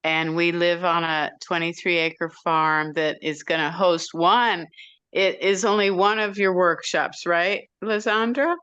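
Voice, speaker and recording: A woman's voice: fairly low pitch, steady tone, unhurried, a bit bright, clear, resonant tone, no room sound, dull audio. A woman introducing herself in a group meeting, chatty and friendly as she talks about her farm. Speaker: farm owner, meeting participant.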